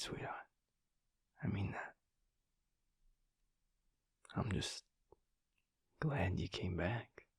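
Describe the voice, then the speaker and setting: A man playing a flirty but tender werewolf in an intimate romantic audio roleplay, speaking in a husky, breathy murmur with a halting, teasing manner. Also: lowish voice, no room sound, clean studio sound, full character voice. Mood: gentle, understanding. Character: flirty, feisty male werewolf, tender and earnest underneath.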